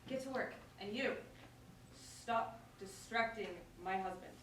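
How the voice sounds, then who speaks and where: A woman's voice: fairly high pitch, unhurried, close to an everyday voice. Woman playing bossy wife, distant and echoey. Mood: mock scolding. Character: bossy, affectionate, brisk baker's wife, servant woman. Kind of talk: staged reading of verse comedy. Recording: very echoey, noisy room from far off.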